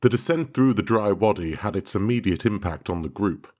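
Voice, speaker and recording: An actor playing a proud, bitter, obsessed expedition leader and husband, delivered as grandiose, theatrical first-person audio drama narration. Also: male voice, on the low side, animated, fairly quick, darkish tone. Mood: tense, awed.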